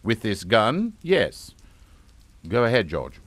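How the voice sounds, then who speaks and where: An actor playing a calm, menacing middle-aged father in a suspense radio drama, with clipped, authoritative delivery. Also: lowish voice, slow pace, no room sound, heavily acted. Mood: commanding. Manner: clipped, authoritative, matter-of-fact.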